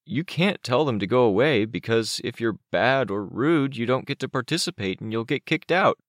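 A man voicing an excitable, defensive young boy with a complaining, exclaiming manner in an audiobook story. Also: medium pitch, moderate pace, no echo, studio quality, voice pushed up, close to an everyday voice. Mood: grumbling.

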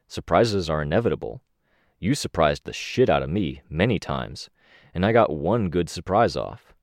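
A reasonable young man explains things in a steady, low voice, close to the male reader's own. It has a lively rise and fall. Dry recording. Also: moderate pace, dry recording.

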